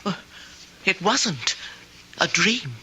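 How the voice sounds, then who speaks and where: An actress playing a frightened, confused lonely woman in an old-time radio drama, halting and pleading as she repeats herself. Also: on the low side, big pitch swings, pushed and bright, breathy edge, heavily acted. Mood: shaken, confused. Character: frightened, confused, fretful lonely woman insisting on her story.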